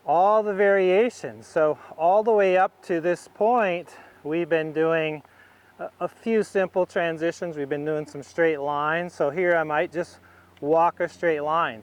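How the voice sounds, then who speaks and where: A man with a smooth, slightly high voice talks through a riding demonstration as an instructor. He takes his time, laying out each step in short phrases as if thinking aloud, at a markedly slow pace.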